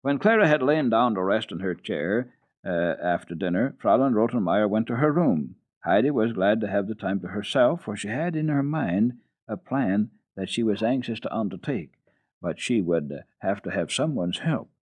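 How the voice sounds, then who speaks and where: A man narrating children's literature as a radio storyteller, reading dramatically in an expressive, old-fashioned way. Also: fairly low pitch, moderate pace. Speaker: storyteller, radio program host.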